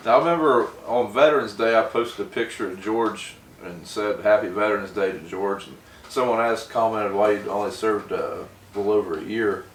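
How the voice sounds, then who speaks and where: Male host, American, folksy casual interviewer, quick delivery, slightly distant mic. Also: fairly low pitch. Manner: informal, folksy. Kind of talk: casual chat, interviewing a friend. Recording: roomy, distant mic with faint noise.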